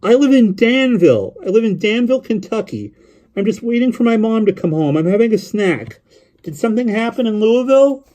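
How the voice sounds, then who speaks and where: A man voicing a lonely, eager young boy on the phone in an audiobook story, guileless and rambling, with a childlike high-pitched voice. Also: very animated, fairly quick, dark tone. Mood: eager, questioning. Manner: guileless, rambling, repetitive, full of questions.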